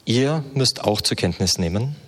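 Male sermon interpreter, lowish voice, fluent with hesitation fillers, long hall reverb. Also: expressive, measured pace, breathy edge.

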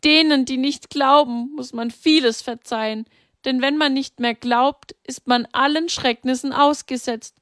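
A woman's voice: fairly even intonation, unhurried, a bit bright, no echo, clean studio sound. A woman voicing Mary, a grieving, devout mother who pleads in a raised, anguished voice. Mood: prayerful. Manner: pleading, anguished, high-pitched.

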